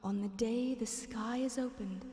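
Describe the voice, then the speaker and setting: Woman voicing a mystical lady reciting prophecy, solemn and slow, mellow, big echoey hall. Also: on the high side, fairly even intonation, natural voice. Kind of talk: slow dramatic verse recitation. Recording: echoey long hall reverb.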